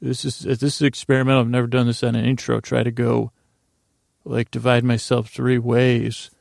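A man hosting a bedtime podcast, rambling through an improvised monologue in a halting, self-interrupting way. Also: mid-range voice, little pitch movement, vocal fry, darkish tone, no echo, clean studio sound.

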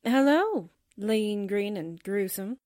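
A woman's voice: medium pitch, animated, slow pace, darkish tone, no room sound, clean studio sound. A woman playing a perky, sassy, scatterbrained secretary in a 1940s-style radio drama, playful and sarcastic. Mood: sassy, teasing.

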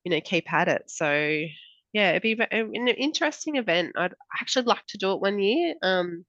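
A woman's voice: mid-range voice, lively intonation, normal speed, fairly bright tone, dry recording, dull audio. A woman in her forties with an Australian accent, co-hosting a casual running podcast, her voice nasal from a cold. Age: 40s. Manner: chatty, many fillers and laughs. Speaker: podcast co-host. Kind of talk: casual chat.

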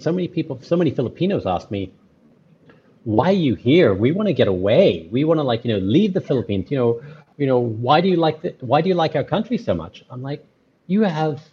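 A man's voice: mid-range voice, mellow, highs cut off, a little hiss or hum. A man, talking earnestly as an interview guest, rambling with many restarts and fillers. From Australia. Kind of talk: casual interview conversation.

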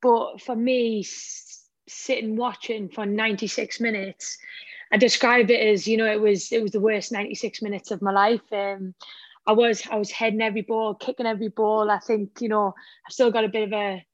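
A woman footballer with a Northern Irish accent, a slightly croaky voice and a halting, emotional way of talking in a radio interview. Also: mid-range voice, flat delivery, dry recording, highs cut off. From Northern Ireland. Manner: halting, many restarts, emotional. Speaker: footballer and pundit guest.

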